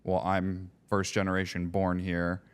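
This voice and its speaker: Low smooth guy chatting on a podcast, polish was his first language, kinda hunts for words. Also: completely flat delivery, unhurried. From United States. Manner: conversational, searches for words. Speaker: podcast co-host. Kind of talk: casual chat.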